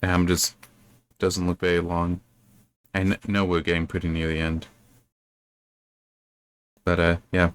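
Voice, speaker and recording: Male book commentator, lowish pitch, dry sound; casual, puzzled, meandering delivery with halting repeats. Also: completely flat delivery, clear, resonant tone, dry recording. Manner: bemused, rambling, halting with repeated words. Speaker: book reviewer reading and commenting. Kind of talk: casual unscripted commentary on a story.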